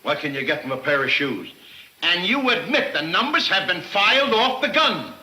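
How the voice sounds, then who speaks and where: Sharp, blustery, skeptical mayor. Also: male voice, high-pitched, big pitch swings, moderate pace, exaggerated voice. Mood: scoffing. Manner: blustery, dismissive. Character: skeptical, impatient male mayor scoffing at police methods. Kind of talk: 1940s crime serial film acting. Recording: echoey old 1940s film soundtrack.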